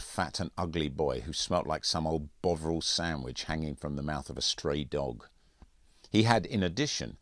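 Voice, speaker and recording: Very low-voiced man reading his story. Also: no room sound, studio quality. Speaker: author reading own audiobook. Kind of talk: scripted first-person literary narration.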